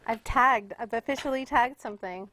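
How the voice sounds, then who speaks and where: A woman's voice: fairly high pitch, moderate pace, bright, forceful tone, slight background noise. A woman musician chatting casually as an interview guest, informal and loose in her phrasing.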